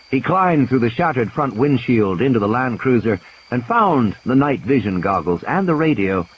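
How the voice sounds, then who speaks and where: Animated man narrating a suspenseful story. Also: medium pitch, a little hiss or hum, rough recording. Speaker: audiobook narrator. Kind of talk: dramatic scripted story narration. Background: cricket-like night ambience sound effects.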